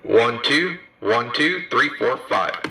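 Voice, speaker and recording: A man's voice: medium pitch, expressive, slow, noisy background. A man doing terse audio checks and test counts, heard through a CB radio speaker. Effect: CB radio talkback and over-the-air speaker. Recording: distant, harsh CB radio speaker playback.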